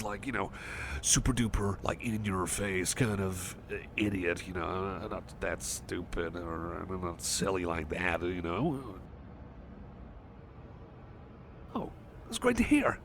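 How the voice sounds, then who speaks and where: A shy male cyborg friend acted by a man keeps you company in an ASMR roleplay. His low voice swings in a lively way as he stammers, repeats himself and seeks reassurance. Faint noise sits behind him. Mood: shy, reassuring. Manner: hesitant, stammering, repeats phrases, checks on listener. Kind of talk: ASMR roleplay, personal attention.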